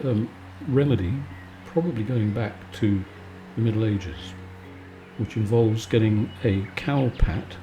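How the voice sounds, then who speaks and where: A man giving a calm, soothing, conversational bedtime chat in a relaxed ASMR style. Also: lowish voice, highs cut off, noisy background. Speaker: video maker and host.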